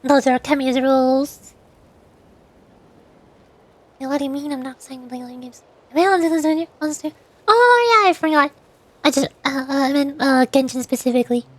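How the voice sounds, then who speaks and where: High-pitched woman chatting on a stream. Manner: hesitant, halting, repeats words. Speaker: VTuber streamer. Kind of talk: casual gaming stream chat.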